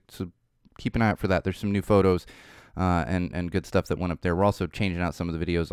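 A man hosting his podcast from a home studio, talking loosely with lots of fillers and repeated words, blunt and sardonic at times, and a bit hoarse. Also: lowish voice, no room sound. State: losing his voice. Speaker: podcast host. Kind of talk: casual solo podcast talk. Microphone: studio mic with headphones.

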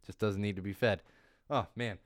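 A man hosting a casual news podcast in a studio, rambling and correcting himself as he goes, his voice a bit hoarse from losing it. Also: on the low side, fairly even intonation, normal speed, no echo. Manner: chatty, rambling, self-correcting. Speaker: podcast host.

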